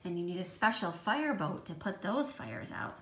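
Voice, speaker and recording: A woman's voice: lowish voice, big pitch swings, moderate pace. Woman reading aloud to children, noisy recording. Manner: child-directed, questioning, emphatic on read text. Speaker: teacher reading to young children. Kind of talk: read-aloud storytime with explanatory asides.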